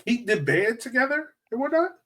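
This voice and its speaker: A man's voice: extremely high pitch, very animated, moderate pace, sharp tone, slight background noise. A man hosting a podcast, asking informal, meandering questions in a casual chatty style. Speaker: podcast host.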